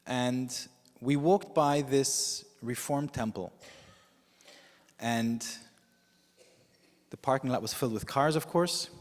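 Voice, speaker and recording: A man whose first language is Yiddish, preaching as a rabbi in a measured storytelling way with frequent pauses. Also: medium pitch. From United States. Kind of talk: sermon. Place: synagogue sanctuary with long reverb. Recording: reverberant hall.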